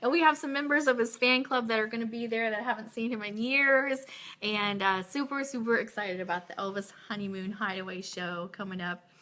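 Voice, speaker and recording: A woman's voice: a little high, fairly even intonation, a little fast, bright, forceful tone. A woman hosting a casual fan radio show, talking in an enthusiastic, informal way as she plugs things.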